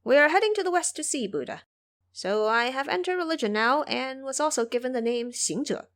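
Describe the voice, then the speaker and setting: A woman's voice: high-pitched, expressive, fairly quick, pitched above the performer's own voice. A woman voicing a brash, proud monkey king turned monk in an audio drama, speaking boastfully and indignantly.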